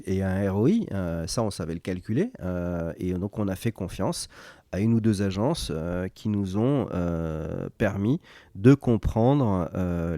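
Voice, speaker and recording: A man's voice: deep voice, dark tone, no room sound, clean studio sound. A man from Morocco, a company founder, answering interview questions in a hesitant way, with many fillers and restarts.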